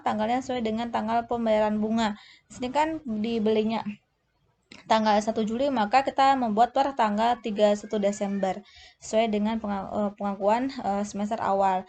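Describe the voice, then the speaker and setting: A woman teaching a lesson as a tutor, explaining step by step in a halting way and often correcting herself. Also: medium pitch, fairly even intonation, mellow. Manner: halting, often searches for words and self-corrects. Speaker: accounting tutor. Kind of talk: explanatory lesson walking through tables.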